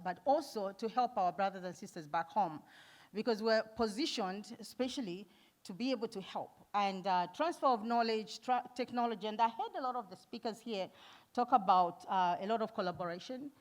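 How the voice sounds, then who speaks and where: Woman from Zambia, middle-aged, nurse speaker, earnest, chuckles often, handheld mic. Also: medium pitch. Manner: warm, earnest, laughs often, many fillers. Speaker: nurse and foundation founder speaking at an event. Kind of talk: conference talk. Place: event hall.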